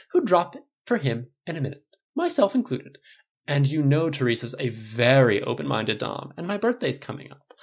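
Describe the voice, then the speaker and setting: A man's voice: mid-range voice, pitched above the performer's own voice. A man voicing a campy, flirtatious male hairdresser with a put-on German accent, teasing and sing-song. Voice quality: camp, sing-song, drawn-out. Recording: dull lo-fi recording.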